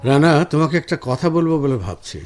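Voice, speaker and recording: A man's voice: fairly high pitch, expressive, a little fast, soft background music, lightly acted. A man acting a caring, reassuring husband with a professional job in a Bengali radio drama. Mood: earnest.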